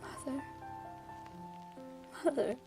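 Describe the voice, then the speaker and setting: A woman playing an earnest, anguished young girl in an amateur audio drama, with a halting, pleading way of talking. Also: rough recording. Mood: remorseful, grieving. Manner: pleading, halting, repeats words. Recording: music loud behind voice.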